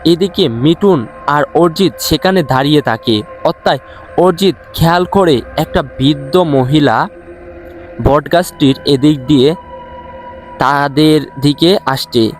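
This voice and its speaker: Male narrator, horror audio story. Slightly high voice, halting delivery with repeats, music underneath. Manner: halting, often repeats words. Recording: music behind the voice.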